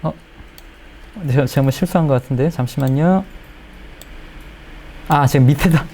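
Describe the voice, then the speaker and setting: A middle-aged man giving a spoken software tutorial as an editing instructor, explaining step by step and checking in with questions. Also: mid-range voice, moderate pace.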